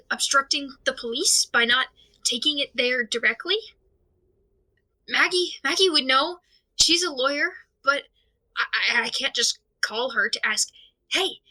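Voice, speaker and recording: Audio drama lead: anxious amateur investigator , played by a woman, higher voice with a tense, sharp edge, halting and stammering monologue. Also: rough recording. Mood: worried, flustered. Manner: halting, stammering, rambling, fretful. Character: anxious, overwhelmed amateur investigator talking through a dilemma alone.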